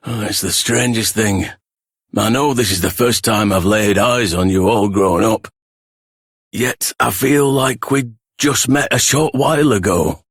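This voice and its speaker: A man voicing a weary, tender warrior father in a video game, husky and gentle, speaking haltingly. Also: lowish voice, no echo, clean studio sound, full character voice. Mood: tender, wistful. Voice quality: husky, breathy. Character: tired, tender long-absent warrior father.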